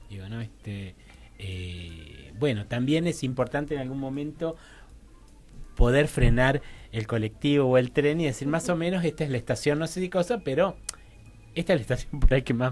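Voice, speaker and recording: A man's voice: medium pitch, expressive, moderate pace, a little mellow. A man with an Argentine accent talking as a guest in a radio interview, tentative and digressive, often checking he is understood. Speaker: guest clinician and academic.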